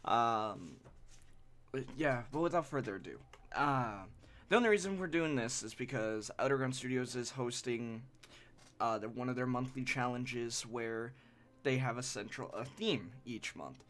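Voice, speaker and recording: A young man live-streaming his drawing session, sniffly from allergies and chatting in a rambling, halting, apologetic way. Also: medium pitch. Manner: rambling and halting, with frequent restarts; self-deprecating and apologetic. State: sniffly, stuffy nose from allergies. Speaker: art streamer. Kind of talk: casual live-stream chat while drawing. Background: soft background music.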